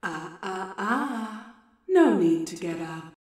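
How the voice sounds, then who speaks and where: A woman's voice: mid-range voice, lively intonation, takes it slowly, darkish tone, reverberant. A woman voicing a sadistic young female minion with a cruel, whispery drawl.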